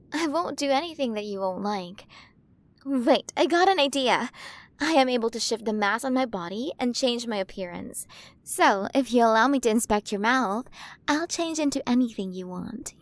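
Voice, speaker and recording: A woman voicing a playful, curious alien queen of a galaxy in an ASMR roleplay, speaking to the listener in a fascinated, coaxing way. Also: a little high.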